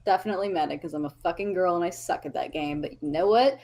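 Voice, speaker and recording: A woman's voice: fairly low pitch, a little mellow. Chatty, foul-mouthed young woman on a call. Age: 20s. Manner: chatty, swears freely, fast storytelling. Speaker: podcast guest. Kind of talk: casual chat. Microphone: remote voice call.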